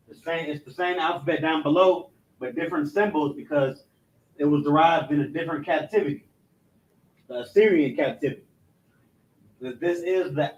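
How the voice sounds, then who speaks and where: Male instructor, slightly higher voice, halting informal classroom delivery. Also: highs cut off. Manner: loose and repetitive, stumbles and restarts, keeps asking "you know what I'm saying"; explains slowly, step by step. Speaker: religious language instructor.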